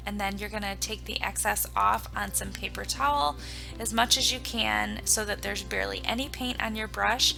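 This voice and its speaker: Raspy-voiced woman hosting a craft tutorial. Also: medium pitch. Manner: chatty, explains each step plainly. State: raspy voice from recent illness. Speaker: home decor DIY channel host. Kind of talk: casual step-by-step craft tutorial.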